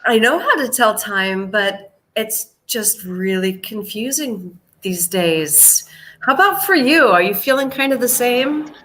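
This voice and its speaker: An American woman from Seattle teaching English on a live video call, chatty and joking, slowing down when she greets her students. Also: fairly high pitch, lively intonation, bright, forceful tone. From United States, Seattle. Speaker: English teacher hosting a live online class. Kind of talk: live online lesson, chatty.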